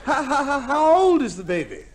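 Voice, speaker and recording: An actor playing a jovial soldier husband home from the war, bantering and exclaiming in 1950s radio comedy style. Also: male voice, very high-pitched, big pitch swings, normal speed, crowd noise. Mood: amazed. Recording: noisy old radio recording.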